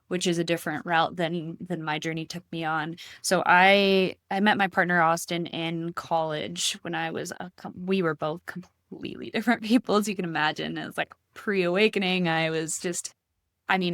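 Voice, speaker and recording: Low-voiced woman coach telling her story. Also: fairly bright tone, dry recording, studio quality. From Costa Rica. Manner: reflective, storytelling, many fillers. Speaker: podcast guest, healing coach. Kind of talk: casual interview talk.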